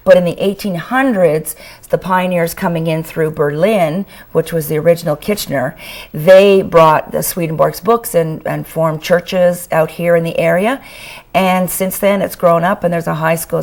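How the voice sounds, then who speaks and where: Canadian lady author, gravelly hoarse voice, kinda low, chatting excitedly on a tv show. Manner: enthusiastic, chatty. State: losing her voice. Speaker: author guest. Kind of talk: talk show interview. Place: TV studio.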